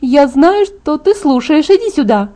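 A woman voicing an excitable, pushy, sisterly young female vampire in a storybook reading, pleading and insistent. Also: sits high, normal speed, voice pushed up, lightly acted. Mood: gleeful, triumphant.

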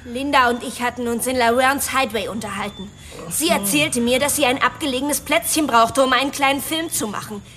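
An actress in a German radio drama playing a spoiled rich daughter who confesses emotionally and justifies herself. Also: female voice, sits high, a little fast, fairly bright tone, a little hiss or hum. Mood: defensive, confessing.

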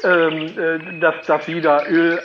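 A man's voice: fairly high pitch, little pitch movement, slow. A man in an unscripted phone conversation as an official, stammering, flustered and incredulous. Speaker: official taking a phone call. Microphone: telephone. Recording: noisy, dull telephone line.

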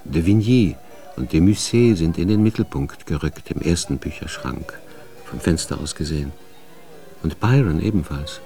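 A man acting as the narrator of a radio drama, setting historical scenes in a measured, factual manner. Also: a bass voice, expressive, dark tone, soft background music. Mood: factual, descriptive.

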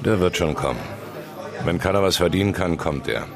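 Radio crime drama: a brash veteran newspaperman, very low voice, gruff and wry, music underneath. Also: animated, brisk. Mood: casual, wry. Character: brash, seasoned male crime reporter mentoring his trainee. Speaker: newspaper reporter.

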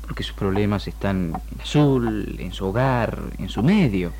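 A man with a Rioplatense accent playing a calm, firm doctor in a 1960s radio drama, speaking in a solemn, measured, counselling way. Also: on the low side, noisy background. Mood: firm, grave. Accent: Rioplatense Argentine. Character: calm, firm male doctor guiding a troubled patient. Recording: old radio archive tape with music.

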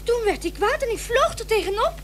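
A little neighbour girl speaks in a tiny, very high voice. She is halting and childlike, anxious to get her news out. It is old radio drama with light background hiss. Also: sing-song, a bit bright, exaggerated voice. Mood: excited, anxious. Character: breathless young neighbour girl bringing alarming news. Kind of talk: Dutch radio play acting. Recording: hissy old radio play recording.

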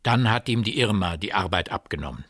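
An actor playing a storyteller in a radio drama, narrating eerie village events in a deliberate, vivid way that addresses the listener. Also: male voice, lowish voice, animated, fairly bright tone, dry recording, studio quality. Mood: wry, uneasy.

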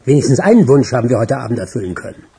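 A man playing a soothing, smooth-talking go-between in a radio crime drama, with a creaky voice. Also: medium pitch, very animated, very fast, warm tone, a little hiss or hum. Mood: placating. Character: smooth-talking, soothing male host of shady dealings.

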